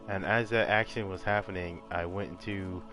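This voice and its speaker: Male gamer, low voice, casual let's-play commentary, game music clearly behind. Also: fairly even intonation, normal speed. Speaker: gaming channel host.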